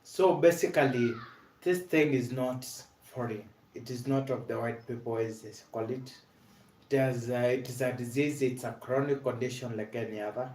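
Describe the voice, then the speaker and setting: A young Kenyan man with a Kenyan accent, telling his own story about living with multiple sclerosis in an earnest, halting way full of "basically" and "uh". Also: mid-range voice, steady tone, darkish tone. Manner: earnest, repeats "basically" and "uh", frequent restarts. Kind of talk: personal awareness vlog, storytelling. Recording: echoey room.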